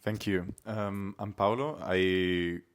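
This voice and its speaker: A young man from Italy, talking in a relaxed, thoughtful way with many fillers as a podcast guest. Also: on the low side, takes it slowly. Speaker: podcast guest, climate activist. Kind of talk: casual conversation.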